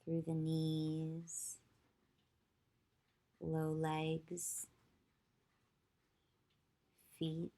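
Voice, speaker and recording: A woman yoga teacher leading a guided visualization meditation, giving slow, soothing instructions with long pauses. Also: low-pitched, completely flat delivery, mellow.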